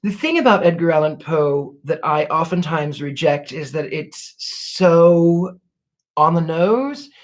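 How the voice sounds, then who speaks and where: A man hosting a casual livestream, answering viewer questions in a courtly, gracious way, thanking people warmly and pausing to restart his sentences as he searches for words. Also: fairly high pitch, expressive. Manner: reflective, many restarts while searching for words. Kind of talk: casual live Q&A chat.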